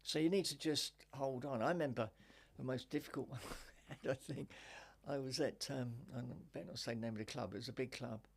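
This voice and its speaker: An older woman with a deep voice, a stand-up comedian telling wry anecdotes in a relaxed interview chat. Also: brisk, gravelly, few pauses, breathy edge. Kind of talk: casual interview chat, storytelling.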